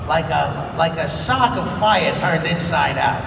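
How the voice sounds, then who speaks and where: A man reading stage directions aloud as a comic, animated narrator at a live table read. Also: on the high side, measured pace, vocal fry. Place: large convention hall stage. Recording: echoey hall from far off, loud noise.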